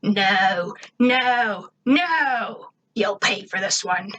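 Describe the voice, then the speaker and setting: A grim schoolteacher in a cartoon-style story, read by a woman. She speaks curtly and with weary authority, at a middling pitch, as if every announcement were a burden. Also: expressive, extremely slow, pushed and bright, dull audio, exaggerated voice. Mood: angry. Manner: curt, dour, authoritative. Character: grim, bitter female schoolteacher, curt and weary.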